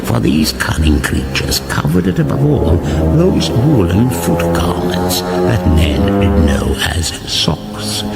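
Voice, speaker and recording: Deep, slow epic chronicler. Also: male voice, creaky, heavily acted. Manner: grave, grandiose, mock-serious. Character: solemn, portentous epic fantasy chronicler. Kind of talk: scripted narration, epic fantasy parody. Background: music.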